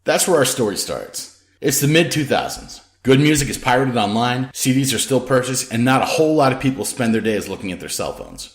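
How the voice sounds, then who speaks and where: A man's voice: medium pitch, lively intonation, fairly quick. A man reading his own novel aloud as scripted audiobook narration, with dramatic, emphatic storytelling. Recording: roomy, echoing sound.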